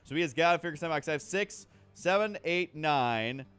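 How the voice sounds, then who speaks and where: Man, slightly high voice, casual gaming commentary, rambling, thinks aloud, self-deprecating. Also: expressive, fast talker, fairly bright tone, clean tone, soft background music. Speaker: gaming video host. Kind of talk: casual game commentary over a card game.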